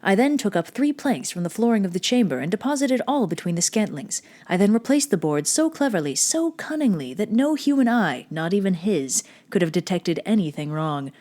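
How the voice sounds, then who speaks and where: The voice is a manic, nervous killer from a Gothic horror tale, acted out by a woman. It has a soft-edged, mellow tone at an ordinary female pitch. The delivery is theatrical and intense, with careful old-fashioned phrasing that insists on sanity. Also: big pitch swings, a little fast, hardly any pauses. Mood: smug, sly. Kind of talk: dramatic reading of a Gothic horror story.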